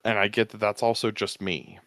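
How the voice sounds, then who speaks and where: A man guest talking easygoing and rambling about his commentary work, with a smooth voice. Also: lowish voice, brisk, a bit bright, no room sound. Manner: easygoing, rambling, restarts with fillers. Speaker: podcast guest, tournament commentator. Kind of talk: casual interview chat.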